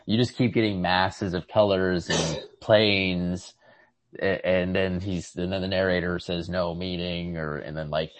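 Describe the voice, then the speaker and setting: A man co-hosting a podcast, casually discussing literature in a halting manner as he searches for words. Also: deep voice, fairly even intonation, no room sound. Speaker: podcast co-host.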